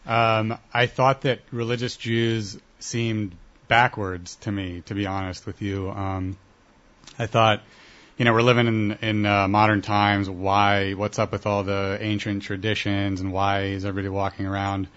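Low-voiced young man, hesitant, lo-fi. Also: level tone, a little slow, fairly bright tone. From Columbus, Ohio. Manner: hesitant, many uh fillers. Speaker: guest. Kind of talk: casual interview answers. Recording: dull lo-fi broadcast audio.

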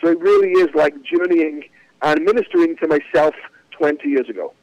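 A man, a rabbi talking about his life as a guest on a casual podcast, reflective and often pausing with "uh, you know.". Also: fairly high pitch, huge pitch swings, creaky, a little mellow, no room sound, highs cut off. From Manchester, England.

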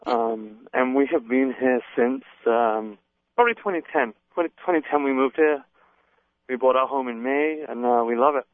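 English guy calling in, friendly and even, fading accent, phone-line sound. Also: medium pitch, no echo. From England. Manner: friendly, measured, with fillers. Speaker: guest on phone. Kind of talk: phone interview.

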